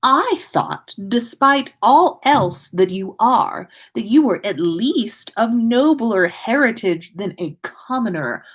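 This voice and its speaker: Pompous highborn schoolboy, woman performer, raised pitch, slow and formal, easily offended, for a storybook reading. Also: lively intonation, breathy edge, heavily acted. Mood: haughty disdain. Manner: formal, haughty, measured delivery. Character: prim, pompous, indignant teenage aristocratic schoolboy.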